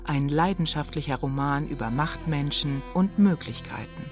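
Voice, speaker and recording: Female radio host, very low pitch, scripted, pointed phrasing, dramatic pauses, clear music bed. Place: radio studio.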